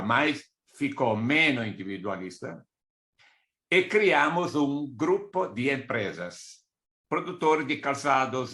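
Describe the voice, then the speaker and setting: A man's voice: mid-range voice, a bit bright. An older man from Italy with an Italian accent, a native Italian speaker explaining business matters in a didactic way as a consultant giving a presentation. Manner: didactic, with asides and listed examples. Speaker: export consultant giving a talk.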